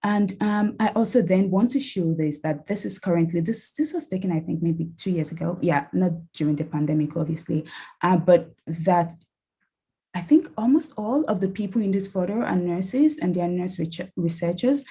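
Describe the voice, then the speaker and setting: Earnest woman with a soft Nigerian-tinged accent. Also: lowish voice, moderate pace, breathy edge. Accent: Nigerian-tinged English. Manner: hesitant, many ums and restarts, earnest and encouraging. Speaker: nurse researcher speaking at a summit. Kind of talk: online academic talk with slides.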